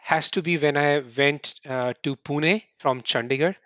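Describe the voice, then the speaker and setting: A middle-aged man from India, answering interview questions earnestly in quick bursts and often restarting with "right?". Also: fairly high pitch, sharp tone, no echo, lo-fi sound. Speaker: podcast guest.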